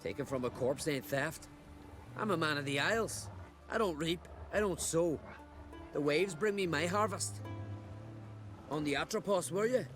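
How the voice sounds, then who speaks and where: A man playing a rough, scornful island seafarer and scavenger in a fantasy game, talking in a blunt, salty, folksy way. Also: on the high side, lively intonation, moderate pace, pauses often, music in the background, close to an everyday voice. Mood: gruff, challenging. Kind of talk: cinematic game dialogue.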